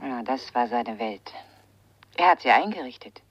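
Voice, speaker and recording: An actress playing a melancholy widow in a German radio crime drama, husky, intimate and reflective. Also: female voice, a bass voice, lively intonation, normal speed, no echo, dull audio, exaggerated voice. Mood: wistful. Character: melancholy, wistful widow of a nightclub pianist.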